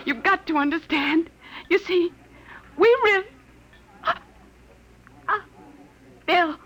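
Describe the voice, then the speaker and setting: An actress playing a frightened, pleading young wife in a melodramatic 1950s radio drama. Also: female voice, very high-pitched, takes it slowly, sharp tone, full character voice. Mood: desperate.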